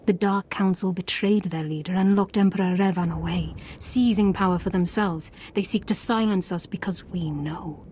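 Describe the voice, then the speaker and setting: An actress playing a secretive, calmly commanding female cult leader in a video game, solemn and measured. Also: lowish voice, slight background noise, close to an everyday voice. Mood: conspiratorial, commanding.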